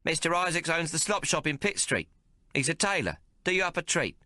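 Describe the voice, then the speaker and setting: A man's voice: a little high, very animated, a little fast, no echo, pitched above the performer's own voice, exaggerated voice. A man voicing a brash, foul-mouthed young woman. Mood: bossy. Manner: bossy, loud, coarse. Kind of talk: audiobook character voice.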